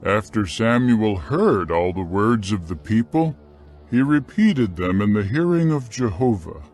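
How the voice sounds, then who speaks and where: A man narrating scripture from a script in a solemn, measured, carefully phrased dramatic style. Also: on the low side, expressive, darkish tone.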